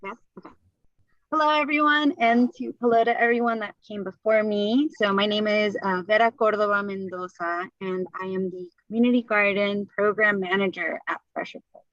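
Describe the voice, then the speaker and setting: A woman's voice: medium pitch, steady tone, normal speed, a bit bright, no room sound. Bolivian-born woman on a dry call. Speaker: community garden program manager, panelist. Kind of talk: panel talk on a video call. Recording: dry, dull video call audio.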